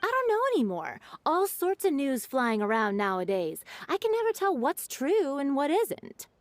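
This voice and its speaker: A woman's voice: high-pitched, big pitch swings, moderate pace, no room sound. A woman playing a gossipy, teasing townswoman in a video game, chatty and theatrical. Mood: curious. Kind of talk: video game character acting. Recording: music behind the voice.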